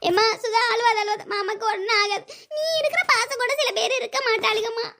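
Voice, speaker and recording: A woman's voice: very high voice, steady tone, brisk, pushed and bright, exaggerated voice. A woman playing a fretful elderly village mother-in-law in a family drama, with a scolding, lamenting manner. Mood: plaintive. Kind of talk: amateur family drama dialogue.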